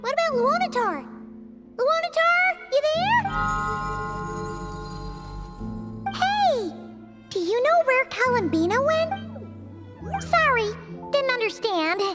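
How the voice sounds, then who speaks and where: Tiny chatty game fairy: extremely high, childlike, very animated, music playing behind. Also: female voice, a little slow, pauses often, heavily acted. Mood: excited, calling out. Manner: exclamatory, expressive. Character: small childlike floating fairy companion, excitable and chatty. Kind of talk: video game voice acting.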